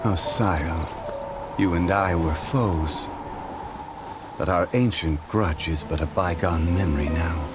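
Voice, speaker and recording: An actor voicing a calm, wise ancient god who speaks with formal, measured storytelling in a game cutscene, with game music behind. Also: male voice, low voice. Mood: reflective. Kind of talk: video game cutscene dialogue.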